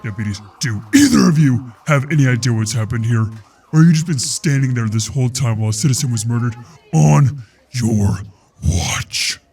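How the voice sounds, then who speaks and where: A man's voice: medium pitch, lively intonation, moderate pace, gravelly, darkish tone, breathy edge, far from the mic, faint music behind. A man playing a grave, terse alien warrior in an audio drama, imposing, stern and loyal. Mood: stern, demanding.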